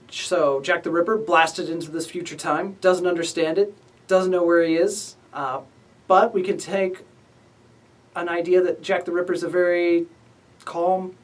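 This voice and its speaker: A man's voice: high voice, distant mic. A man hosting a casual writing lesson as the instructor. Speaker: writing instructor and series host.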